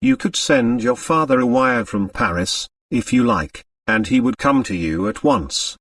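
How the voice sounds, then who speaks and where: A man plays a sensible, robust woman in a storybook, steadying someone with brisk, tactful reassurance. The pitch moves in a lively way, and the voice sits slightly off the microphone. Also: dry recording, distant mic. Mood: reassuring. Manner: brisk, matter-of-fact, reassuring.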